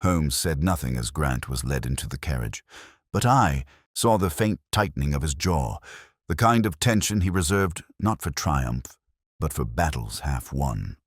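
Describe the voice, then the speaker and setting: Very deep-voiced man narrating a mystery. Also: lively intonation, unhurried, no room sound, studio quality. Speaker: audiobook narrator. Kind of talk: scripted narration of a detective story.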